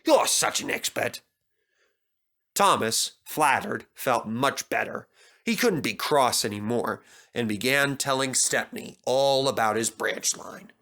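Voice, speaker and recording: A man narrating a scripted children's story in a theatrical, expressive way. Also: mid-range voice, a little slow.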